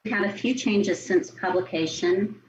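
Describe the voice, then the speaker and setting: A woman's voice: mid-range voice, moderate pace. An American woman speaking as a city staff member, formally reading out procedure and agenda items at a public online meeting. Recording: muffled online meeting audio, faint noise.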